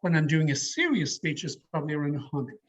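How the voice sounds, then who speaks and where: A middle-aged Irishman with a slightly high voice coaches public speaking in a deliberate, emphatic way. He answers questions over an online call, and the sound is a little dull and lo-fi. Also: sing-song, breathy edge. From Ireland. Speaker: speaker leading a public speaking education session. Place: online video meeting.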